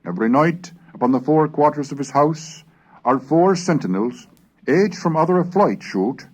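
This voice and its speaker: A man giving a formal, measured reading of historical English texts in a scripted, declamatory narration style. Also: a little high, animated.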